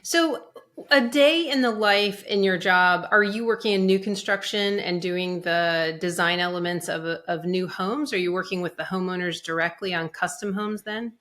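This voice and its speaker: Bright-voiced woman host. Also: lowish voice, moderate pace. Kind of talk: hosted interview.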